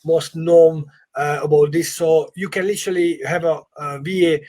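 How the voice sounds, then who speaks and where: A man with a husky, fairly high voice speaks non-native English as a property coach in a live session. He is emphatic and encouraging, and often repeats his phrases for stress. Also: steady tone, moderate pace, darkish tone. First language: not English. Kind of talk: informal live training session.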